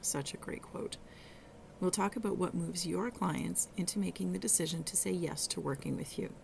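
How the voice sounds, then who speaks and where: A middle-aged woman hosts a solo coaching podcast, her voice hoarse and gravelly and pitched on the low side. She explains things in a conversational way and sometimes stops and restarts a phrase. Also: a little hiss or hum. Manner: warm coaching tone, conversational with some halting restarts. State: hoarse, rough voice. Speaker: podcast host and virtual assistant coach.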